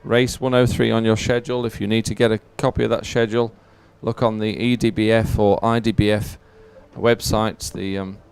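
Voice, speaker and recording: A man's voice: fairly low pitch, little pitch movement, moderate pace, gravelly, few pauses, a little mellow, clean tone. A man giving live, unscripted sports commentary in a chatty, hesitant, explanatory way.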